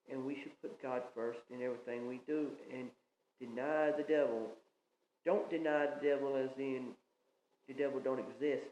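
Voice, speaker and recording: A man's voice: mid-range voice, mellow, a little hiss or hum. A man in his thirties with a Southern US accent, preaching an informal message in an earnest, rambling, plain-spoken way. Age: 30s. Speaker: pastor. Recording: echoey room with faint ambience.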